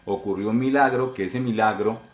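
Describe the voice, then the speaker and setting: A man's voice: on the low side, moderate pace, echoey room. Earnest Colombian pastor in his forties. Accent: Colombian Spanish. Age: 40s. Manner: earnest, repetitive, addressing listeners directly. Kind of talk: sermon testimony.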